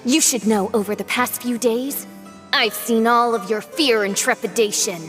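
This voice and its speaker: A woman's voice: fairly high pitch, moderate pace, fairly bright tone, faint music behind, close to an everyday voice. A woman voicing a haughty young princess in a game, speaking with pompous, grandiose theatrical diction. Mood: scornful.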